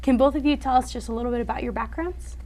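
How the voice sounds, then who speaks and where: A woman's voice: high voice, quick pace. A young woman hosting a student television interview, upbeat when she introduces guests and asks questions. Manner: bright and upbeat, quiet brief replies. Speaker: host.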